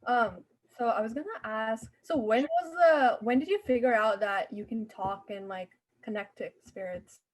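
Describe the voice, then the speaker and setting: A woman's voice: fairly high pitch, moderate pace, hardly any pauses, poor audio. A woman co-hosting an interview show, welcoming listeners and asking questions in an eager, casual way. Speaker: co-host. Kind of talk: show host introduction and questions.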